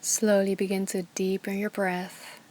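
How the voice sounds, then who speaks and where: A woman yoga teacher leading a guided meditation with slow, soothing instructions, sometimes dropping to a soft whisper. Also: medium pitch, level tone.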